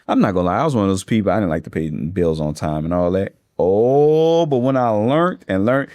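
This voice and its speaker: A man in his thirties, a funding expert talking casually in a podcast interview, slangy and relaxed. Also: on the low side, a little fast, dry recording. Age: 30s. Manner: laid-back slangy talk, calls listeners bro.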